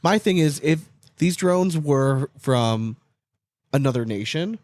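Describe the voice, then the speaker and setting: A North American man co-hosting a casual podcast, telling things in an animated, swear-happy way peppered with "like". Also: fairly high pitch, slow, no echo. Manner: profane, animated storytelling with frequent "like". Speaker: podcast co-host.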